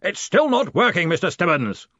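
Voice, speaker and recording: A man's voice: high-pitched, big pitch swings, moderate pace, sharp tone, no echo, lo-fi sound, pitched above the performer's own voice, full character voice. A hearty old wizard in charge, played by a male narrator, bellowing in a raised, swooping voice. He is pompous and impatient, blustering through a comic fantasy audiobook. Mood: bellowing annoyance. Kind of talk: audiobook character voice.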